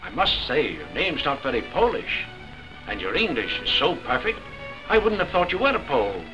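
A man's voice: mid-range voice, sing-song, moderate pace, bright, forceful tone, rough recording. A middle-aged actor playing an English pub darts skipper and father, jovial and a bit patronising, in a 1940s film. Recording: 1940s film soundtrack with music behind.

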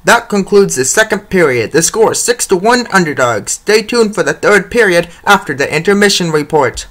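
A man reading scripted narration and play-by-play for an animated hockey comic, in short announcer-like phrases. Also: sits high, moderate pace. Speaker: narrator and creator of a comic dub series.

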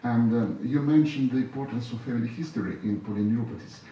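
A man's voice: medium pitch, dark tone, highs cut off. A man chairing a conference, giving formal closing thanks. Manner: formal, fast and fluent. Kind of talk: closing remarks. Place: large lecture hall. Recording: echoey hall, far off, faint hiss.

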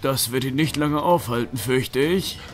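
A man voicing a steady, courteous, protective knight in a fantasy audio drama. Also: mid-range voice, unhurried, very noisy, lightly acted. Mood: worried, steady. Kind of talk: fan-made fantasy audio drama acting.

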